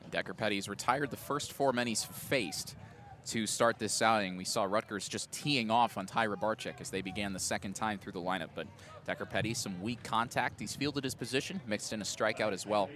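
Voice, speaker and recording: A man's voice: lowish voice, brisk, sharp tone. A man giving color commentary and analysis during a live baseball broadcast at the ballpark. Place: outdoors at a baseball stadium.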